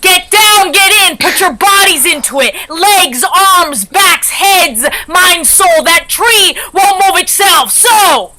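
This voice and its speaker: Woman acting a shrill, commanding firefighter crew boss. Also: animated, slow, few pauses, sharp tone, exaggerated voice, low-quality recording. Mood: commanding, urgent. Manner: barking orders in short, drilling bursts. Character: commanding inmate firefighter crew leader driving her team. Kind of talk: staged play reading over video call. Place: online rehearsal on a video call.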